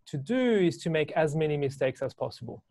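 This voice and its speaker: A man in his thirties with a French accent, explaining things casually and haltingly as an interview guest on a video call. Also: on the high side, steady tone, vocal fry, dark tone, no room sound, studio quality. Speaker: guest, business owner and educator. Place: small indoor room, video call.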